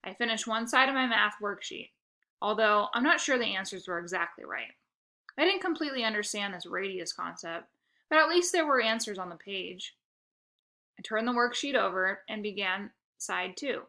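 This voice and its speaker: A woman narrating a children's book aloud with expressive, first-person storytelling. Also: medium pitch, normal speed.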